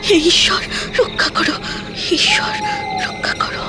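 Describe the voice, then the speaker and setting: A woman's voice: very high-pitched, wildly swooping pitch, a little slow, over music, full character voice. A woman playing a poor young widowed mother in a cartoon, fearful but determined, speaking in a pleading, tearful manner. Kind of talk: dramatic cartoon voice acting.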